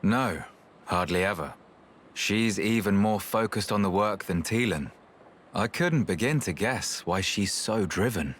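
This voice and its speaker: A man playing a calm, pragmatic, weary researcher in a video game, speaking in a measured, thoughtful way. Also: fairly low pitch, a little hiss or hum. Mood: wry, fond.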